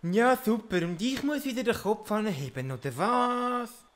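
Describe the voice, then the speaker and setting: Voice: medium pitch, expressive, unhurried, a bit bright. A performer playing a sulky, easily embarrassed young boy in a comic audio play, whiny and exasperated. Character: Walti, embarrassed, sulky young son of a hero.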